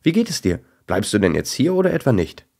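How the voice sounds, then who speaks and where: An excitable little female dachshund from a children's storybook, acted out by a man. The voice is pushed up well above a deep natural register, playful and bossy. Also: a little fast, gravelly, breathy edge, heavily acted. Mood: eager.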